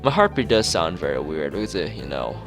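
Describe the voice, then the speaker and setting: A man's voice: extremely low pitch, sing-song, fairly quick, music in the background, full character voice. A man voicing a reserved, wry teenage schoolboy in a visual novel, polite and slightly defensive.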